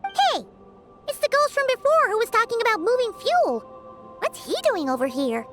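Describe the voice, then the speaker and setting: Super high bouncy little fairy sidekick, very chirpy, game music behind. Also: female voice, moderate pace. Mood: alarmed. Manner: chirpy, excitable, talks about herself in third person. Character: small excitable floating fairy companion girl. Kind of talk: game voice acting.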